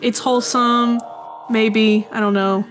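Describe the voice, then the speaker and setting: A woman's voice: on the high side, fairly even intonation, slow, faint music behind. Young adult woman, interview guest. Casual, conversational delivery with dry, self-effacing asides. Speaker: guest, indie animation and audio drama creator.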